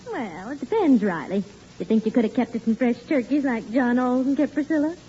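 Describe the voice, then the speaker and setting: A woman's voice: a little high, animated, brisk, warm tone, lightly acted. An actress playing a sensible, teasing wife and mother in a 1940s radio sitcom, dryly chiding. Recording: muffled, noisy 1940s radio recording.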